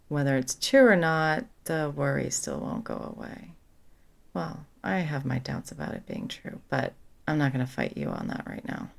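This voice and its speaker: Woman acting a low, creaky-voiced protective best friend. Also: heavily acted. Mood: gently concerned. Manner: casual, supportive, blunt, sweary. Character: caring, protective young woman best friend, pre-med psych student. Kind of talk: ASMR comfort roleplay, conversational.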